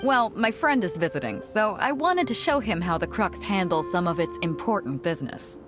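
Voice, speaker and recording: A self-assured pirate captain from a video game, acted by a woman, speaks with wry confidence at a middle pitch. Her delivery is lively and teasing, like someone who enjoys explaining her schemes. Light music plays behind her. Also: normal speed, natural voice. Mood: cool, poised. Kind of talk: voiced video game dialogue.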